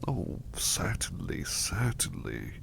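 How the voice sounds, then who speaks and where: Casting: male actor as devoted, bashful butler for ASMR roleplay; low, expressive voice, hushed and halting, eager to please. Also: gravelly, background noise, exaggerated voice. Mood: earnest, apologetic. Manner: halting, repeats phrases, effusively polite. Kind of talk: soft-spoken ASMR roleplay.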